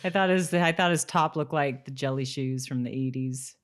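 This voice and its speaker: A woman's voice: rumbling low, moderate pace. A woman co-hosting a podcast, recapping a TV show in a casual style. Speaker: podcast co-host. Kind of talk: casual TV recap chat, partly scripted summary.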